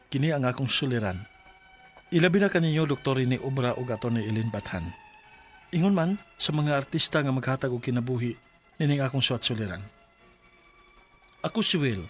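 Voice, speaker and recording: A man's voice: mid-range voice, natural voice. An actor playing a polite, weary young male office employee in a Filipino radio drama, speaking with a Cebuano accent. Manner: polite, deferential. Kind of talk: Filipino Bisaya radio drama, letter narration and acted dialogue.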